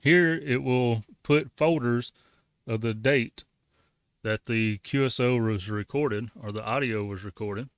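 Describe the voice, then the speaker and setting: A man's voice: mid-range voice, a little slow, no echo. A man giving a casual, folksy how-to walkthrough as the host of a hobby tutorial. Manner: folksy, colloquial. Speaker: ham radio tutorial host.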